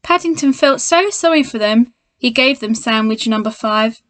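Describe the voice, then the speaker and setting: A woman with a slightly high voice reads a storybook to young children. She is expressive and speaks straight to her listeners, like a primary school teacher. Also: moderate pace, no room sound. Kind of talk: children's storybook read-aloud.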